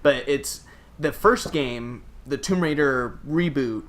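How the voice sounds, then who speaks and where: A man's voice: medium pitch, slow. A man co-hosting a casual gaming podcast, talking informally and peppering his explanations with filler words like "like". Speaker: podcast co-host.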